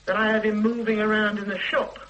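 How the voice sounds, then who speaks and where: A woman's voice: medium pitch, level tone, a little fast, fairly bright tone, muffled recording, close to an everyday voice. A woman playing a blunt, defiant middle-aged shopkeeper, in a radio drama, who stammers and restarts her sentences. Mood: stubborn. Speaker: witness.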